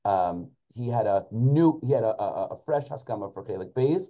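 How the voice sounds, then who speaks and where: A man in his forties reminiscing as an interview guest in a halting, searching manner, sprinkling in Hebrew and Yiddish religious terms. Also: on the low side, animated, mellow. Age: 40s. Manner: halting, searching for words, backtracking. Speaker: podcast guest, school principal and rabbi.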